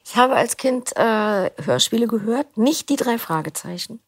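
Interview guest: middle-aged woman, gravelly, loose and chatty, dry studio mic. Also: on the high side, expressive, normal speed, no room sound. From Berlin, Germany. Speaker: interview guest, audiobook reader. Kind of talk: casual chat. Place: recording studio.

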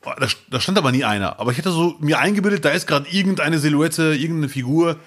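A man's voice: a little high, fast talker, a bit bright. A man co-hosting a podcast, telling childhood stories in casual chat and laughing often. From Bielefeld, Germany. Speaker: podcast co-host.

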